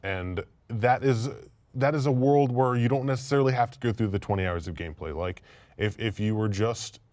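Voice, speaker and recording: A man's voice: on the low side, a little fast, darkish tone, no echo. A man talking informally as a panelist on a casual discussion show. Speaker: panelist on a video game and movie discussion show. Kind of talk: casual chat.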